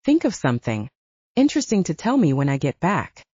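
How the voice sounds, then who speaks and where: A brisk, frank supernatural investigator played by a woman narrator in an audiobook. She gives firm orders in a lowish, animated voice, on a dull, dry recording. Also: slightly rough, no room sound, full character voice. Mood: brisk, commanding. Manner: blunt, commanding, coaching.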